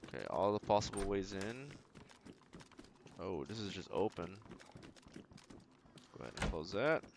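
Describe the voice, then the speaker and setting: Male game streamer, low gravelly voice, muttering short casual remarks, faint noise and keyboard clicks behind. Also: sing-song, measured pace. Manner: talks to himself in short remarks. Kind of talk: casual gameplay commentary. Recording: noisy mic with clicks and keyboard typing. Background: keyboard typing and clicking.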